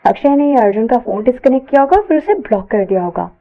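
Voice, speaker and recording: A woman reading a scripted story aloud as an expressive narrator. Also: on the high side, fast talker, dull audio, soft background music.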